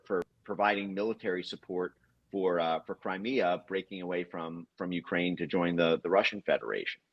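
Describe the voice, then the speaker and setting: A man giving political commentary as a guest in a conversation, halting and long-winded, often repeating words as he goes. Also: on the low side, dull audio.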